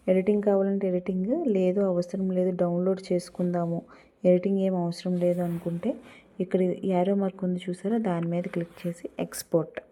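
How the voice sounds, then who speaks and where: A woman walks through a tutorial casually, step by step. Her voice is a little low, mellow and husky, with English words mixed in. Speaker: tutorial host.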